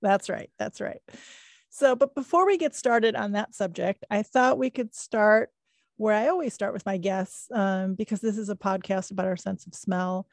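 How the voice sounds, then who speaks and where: A woman's voice: medium pitch, normal speed, gravelly, no room sound, studio quality. A middle-aged woman hosting a podcast, reading a scripted intro and then chatting with a guest in an upbeat, enthusiastic way. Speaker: podcast host.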